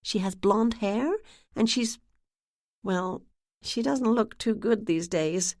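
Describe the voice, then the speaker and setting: A woman voicing a woman who puts on a giggly, gossipy act with a New England accent, dropping to a confiding hush at times. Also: mid-range voice, takes it slowly, dry recording, studio quality, pitched above the performer's own voice. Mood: chatty. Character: woman posing as a giggly, chatty lost sister.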